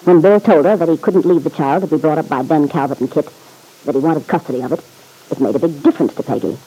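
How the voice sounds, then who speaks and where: Insistent, slangy man in vintage radio drama; soft dark tone, rough grain, pressing and blunt. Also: a little high, fast talker, rough recording. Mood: urging. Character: stern, insistent man pressing a woman for the truth. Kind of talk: old-time radio serial acting.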